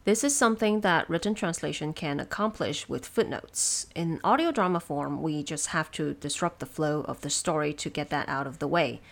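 A woman hosting production notes, explaining things in a measured, semi-scripted and lightly wry way. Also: sits low, expressive, creaky. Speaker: host of production notes for an audio drama.

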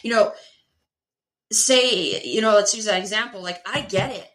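A woman explaining fitness in a casual, animated way, with many fillers and rhetorical questions. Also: medium pitch, normal speed. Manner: animated, lots of filler words and rhetorical "right?". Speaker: pelvic health and fitness expert guest. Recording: echoey room.

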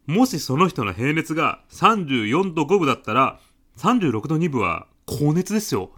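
A man's voice: a little high, sing-song, normal speed, fairly bright tone. A man playing an insistent, argumentative, eccentric businessman with absurd logic in a comedic radio drama. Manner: insistent, matter-of-fact, argumentative.

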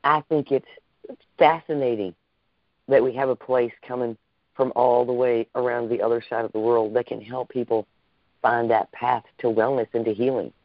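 A man's voice: mid-range voice, steady tone, normal speed, no room sound. Man, effusive podcast host, dry close sound, muffled lo-fi. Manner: effusive, warm-worded, repeats himself. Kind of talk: upbeat interview hosting. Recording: dull lo-fi call audio.

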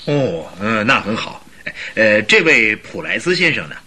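An actor playing a nervous, impatient company director with a guilty secret in a radio drama, husky and hesitant. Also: male voice, on the low side, big pitch swings, a little slow, bright, forceful tone, exaggerated voice. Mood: uneasy, hesitant. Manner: hesitant, evasive, dismissive. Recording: radio drama with faint music.

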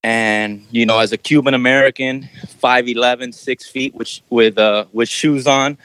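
A man's voice: mid-range voice, steady tone, moderate pace, a bit bright, no room sound, rough recording. Dry-sounding man telling his story. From Miami, Florida. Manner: conversational, frequent "you know" fillers. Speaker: basketball trainer. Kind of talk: casual podcast interview.

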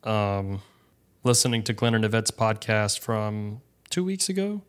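A man's voice: fairly low pitch, very animated, measured pace, clean tone. A man co-hosting a podcast, chatting casually in a reassuring, self-deprecating way. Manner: reassuring, self-deprecating, restarts his sentences. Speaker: podcast co-host. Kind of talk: casual chat.

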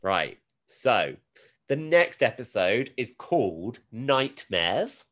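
A man's voice: medium pitch, very animated, slow, a bit bright. A British man hosting a podcast, chatting casually and with amusement as he recaps a TV show. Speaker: podcast host.

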